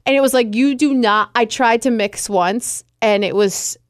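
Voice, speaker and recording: A woman co-hosting a casual chat podcast, chatty and quick to laugh. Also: fairly high pitch, dry recording, clean studio sound. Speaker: podcast co-host.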